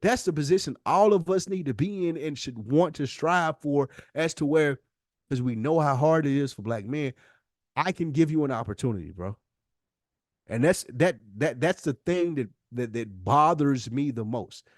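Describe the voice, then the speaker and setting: A man's voice: a little high, animated, normal speed, no echo, studio quality. An American man co-hosting a podcast, talking casually and candidly in an earnest, slangy way and repeating words for emphasis. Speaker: podcast co-host.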